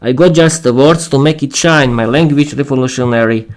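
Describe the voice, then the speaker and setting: A man's voice: medium pitch, fairly quick. A man hosting an English-learning podcast, reading a written text aloud on a livestream with casual asides, stumbling and repeating words as he goes. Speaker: podcast host and English teacher.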